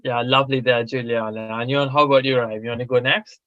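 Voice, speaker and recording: A man's voice: medium pitch, fairly even intonation, fast talker, no room sound, phone-quality sound. A young man hosting a podcast interview in a casual, hesitant way, with frequent fillers and restarts. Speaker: podcast host.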